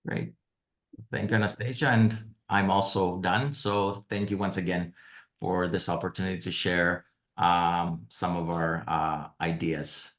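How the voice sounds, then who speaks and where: A man presenting at an online panel as a university representative, speaking haltingly with many fillers. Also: deep voice, fairly even intonation, a bit bright, reverberant.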